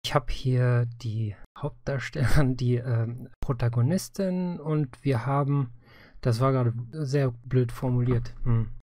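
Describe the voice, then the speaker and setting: A man acts as the interviewer in a relaxed, informal conversation. His voice has a medium pitch and is recorded very dry, with no room sound. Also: a little slow, no echo. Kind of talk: casual interview.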